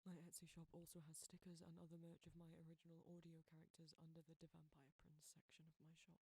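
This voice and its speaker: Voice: medium pitch, monotone, quick pace, warm tone, slightly rough. An audio creator delivering a scripted channel outro and reading out credits.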